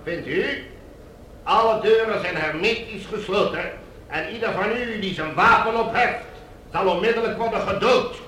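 A smug villain ruling a Mars colony, in a high, sharp voice. He is mockingly polite and commanding, in radio drama style. The room rings with echo. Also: normal speed, a little hiss or hum. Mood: smug, menacing.

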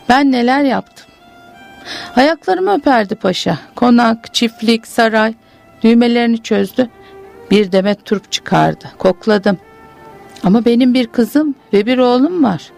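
A woman's voice: a little high, vocal fry, darkish tone, pitched above the performer's own voice. A woman reading aloud as a bitter old pasha's widow, in a grim, brooding monologue. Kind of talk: literary reading.

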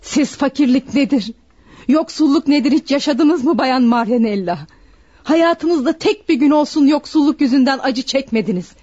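An actress playing a desperate, bitter servant woman confessing to murder in a deliberate, dramatic radio play style. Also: sits high, lively intonation, dark tone, no echo. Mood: bitter, aggrieved. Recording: dull, dry radio recording.

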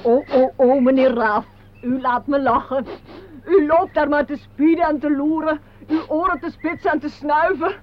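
An actress playing an excitable, fussy receptionist who chatters and exclaims theatrically in a radio play. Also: female voice, sits high, normal speed, lightly acted. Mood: amused. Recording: dull, noisy old radio recording.

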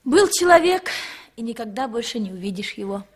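An actress playing a mournful young child's mother, speaking in a drawn-out, crooning way in a 1960s Soviet radio play. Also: fairly high pitch, very animated, husky. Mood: wistful. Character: mournful mother lulling her baby with Romani lullaby.

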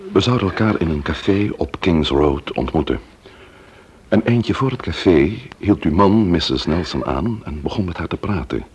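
A grave investigator in a radio drama, with a very low, husky voice. He questions formally and insistently, measured in his delivery but moving widely in pitch. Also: animated, a little mellow. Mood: sober, apologetic. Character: sober, probing male investigator, breaking grim news. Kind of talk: Dutch radio play acting.

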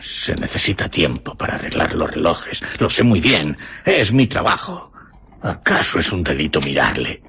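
An actor playing a nosy, nervous village clockmaker in radio drama, hesitant and muttering to himself. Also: male voice, rumbling low, very animated, normal speed, sharp tone, slightly rough, heavily acted. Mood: indignant. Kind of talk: Spanish radio drama acting.

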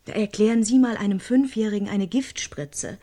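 A woman's voice: medium pitch, normal speed, mellow, lightly acted. Woman playing a plain-spoken kindergarten teacher. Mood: uneasy, candid. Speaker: studio guest. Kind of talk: radio drama, conversational.

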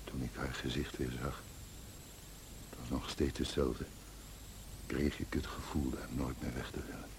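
An actor playing a brooding, obsessive middle-aged landlord in a radio drama, harsh and haunted, on a hissy old recording. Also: male voice, very deep voice, vocal fry, exaggerated voice.

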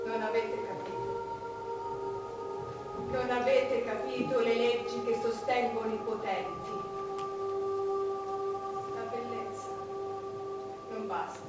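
Imperious queen, high-voiced, echoing stage. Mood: cold, grave. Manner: declamatory, dramatic pauses, swinging from hushed to thundering. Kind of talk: theatrical stage monologue in Italian drama. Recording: very echoey stage, distant mic, music behind.

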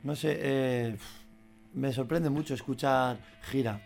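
Gravelly hesitant man hosting radio. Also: medium pitch, fairly even intonation, takes it slowly, darkish tone, a little hiss or hum. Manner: hesitant, stumbling questions with fillers. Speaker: radio host, interviewer. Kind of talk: casual radio interview.

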